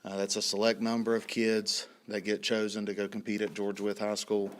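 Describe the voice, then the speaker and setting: A man in his thirties, a high school principal, giving a report at a school board meeting while a little hoarse from a cold, clearing his throat often. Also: monotone, moderate pace. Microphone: podium microphone.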